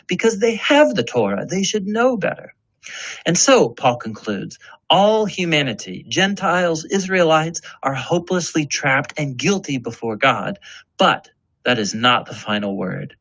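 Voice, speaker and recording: A man's voice: a little high, a bit bright, low-quality recording. A man narrating a scripted educational explainer in a storytelling, explanatory manner.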